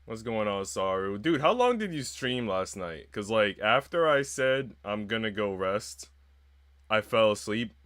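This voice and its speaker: Man, lowish voice, livestreamer, casual slangy chat, informal. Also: expressive, unhurried, a bit bright, no echo. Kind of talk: casual livestream chat, reading game narration aloud.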